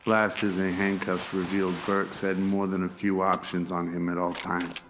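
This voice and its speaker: Low, even-toned male narrator. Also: normal speed, soft background music. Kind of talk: scripted radio drama narration.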